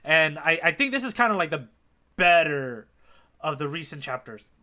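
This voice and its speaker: Bright-voiced man, higher-pitched, chatting casually. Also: big pitch swings, moderate pace. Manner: enthusiastic, appreciative. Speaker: podcast co-host. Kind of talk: casual chat about a manga chapter.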